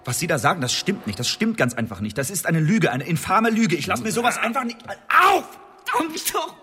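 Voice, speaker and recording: Hitzköpfiger Angeklagter, schnell und extrem bewegt. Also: male voice, high voice, sing-song, a little fast, sharp tone, a little hiss or hum, heavily acted. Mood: outraged. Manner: agitated, stammering protests. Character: accused Elizabethan poet-spy, hot-headed, defiant, indignant man. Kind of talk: German radio drama acting.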